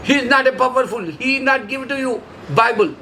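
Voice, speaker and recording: A man's voice: extremely high pitch, moderate pace, sharp tone, slight background noise. A man from India whose first language is Urdu, speaking in broken English with an Indian accent, preaching in short emphatic phrases. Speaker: religious video maker. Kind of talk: solo preaching monologue.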